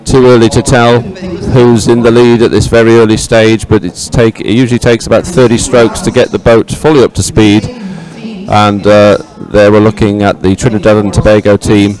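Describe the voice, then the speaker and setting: A man giving measured, explanatory live sports commentary on a race. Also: fairly low pitch, flat delivery, darkish tone, poor audio.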